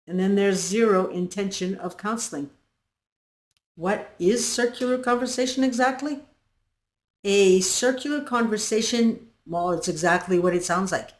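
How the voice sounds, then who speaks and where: A woman's voice: mid-range voice, normal speed. A woman hosting her own channel, congested from the flu, talking earnestly and directly to her viewers. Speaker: channel host. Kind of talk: explainer talk to viewers. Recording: echoey room.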